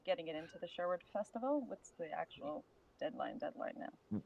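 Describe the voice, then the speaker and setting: A woman's voice: fairly low pitch, big pitch swings, brisk, creaky, lo-fi sound. A woman talking in a casual, chatty and agreeable way, as in a group conversation. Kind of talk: casual chat.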